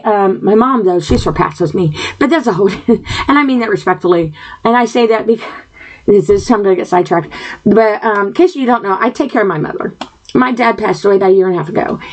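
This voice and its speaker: A woman hosting a podcast, talking earnestly from home into her computer microphone, wandering off topic with lots of fillers and throat clearing, her mouth dry. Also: mid-range voice, rough recording. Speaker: podcast host. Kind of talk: rambling personal monologue.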